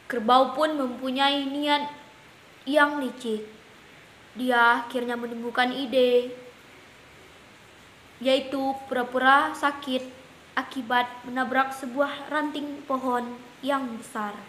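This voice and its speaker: A woman's voice: sits high, steady tone, slow pace, pauses often, fairly bright tone. A woman narrating an animal fable for children as a storyteller. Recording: echoey room, faint hiss.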